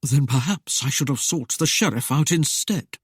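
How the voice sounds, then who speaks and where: A man voicing a cold, aloof nobleman who speaks in a curt, measured way. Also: mid-range voice, slightly rough, dry recording, studio quality. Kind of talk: audiobook character voice.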